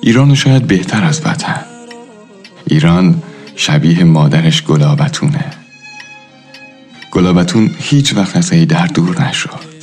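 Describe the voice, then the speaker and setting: A man playing a reflective, philosophical narrator who tells a radio drama in a measured, literary manner. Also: sits low, expressive, darkish tone. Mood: pensive. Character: reflective, philosophical storyteller of an old neighbourhood. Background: faint music.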